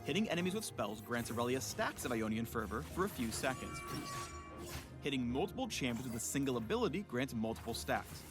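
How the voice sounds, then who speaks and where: Animated male game narrator over loud music. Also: medium pitch, fairly quick. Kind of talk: scripted promotional tutorial narration.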